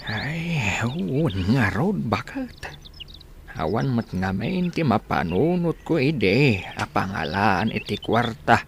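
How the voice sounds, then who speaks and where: A man's voice: mid-range voice, sing-song, takes it slowly, gravelly, slight background noise, full character voice. A man playing an old, humble rural husband who herds cattle in an Ilocano radio comedy-drama, speaking in a drawl full of hesitant interjections. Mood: weary, musing. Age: older.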